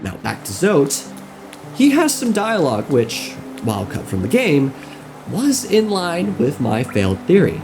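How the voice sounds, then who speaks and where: Slow, expressive male video essayist. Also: a little high. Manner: wry, deadpan asides. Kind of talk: scripted game lore narration. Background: music.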